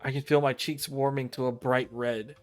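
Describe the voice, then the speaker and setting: A male streamer reads a visual novel aloud in an average-pitched voice. He narrates the text and trips over words now and then, with game music playing behind him. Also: flat delivery, moderate pace. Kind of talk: narration read aloud on a livestream, with stumbles and asides.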